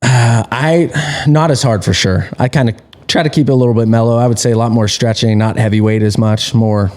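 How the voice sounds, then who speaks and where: A man's voice: medium pitch, fairly quick, a little mellow. A man in his early thirties, a pit crew tire changer, answering questions in an easygoing, laid-back way. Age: 30s. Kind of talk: casual interview.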